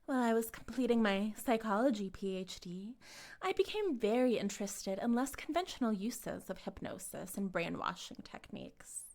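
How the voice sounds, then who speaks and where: A woman's voice: fairly high pitch, a little mellow. A woman playing a charismatic, smugly menacing cult leader in a dramatic audio roleplay, speaking in a pompous, articulate and condescendingly persuasive way. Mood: lecturing, reflective.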